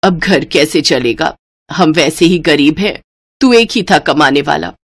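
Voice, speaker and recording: A homely middle-aged mother-in-law, played by a female narrator, pitched low for a cartoon tale. Her delivery is measured and motherly, that of an old-fashioned woman of the house. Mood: worried.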